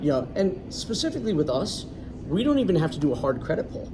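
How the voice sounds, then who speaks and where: A man's voice: on the high side, very animated, quick pace. A man, a loan originator, explaining things in a confident, chatty way as a guest. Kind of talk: casual interview. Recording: distant mic, clear background noise.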